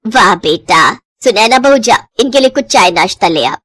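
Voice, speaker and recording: A woman's voice: medium pitch, expressive, normal speed, fairly bright tone, no echo, voice pushed down. Woman putting on an emphatic middle-aged mother-in-law. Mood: delighted. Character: middle-aged mother-in-law, bickering yet easily delighted.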